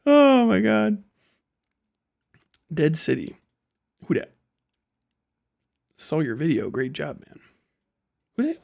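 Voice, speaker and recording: A man's voice: a little high, huge pitch swings, slow, vocal fry, long pauses, darkish tone. A man giving off-the-cuff livestream commentary in a blunt, profane, sardonic way. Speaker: live streamer.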